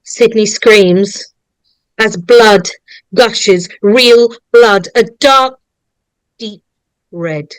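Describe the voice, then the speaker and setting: A woman's voice: medium pitch, extremely slow, long pauses, clear, resonant tone, dry recording, low-quality recording. A woman narrator reading stage directions aloud at a dramatic script table read.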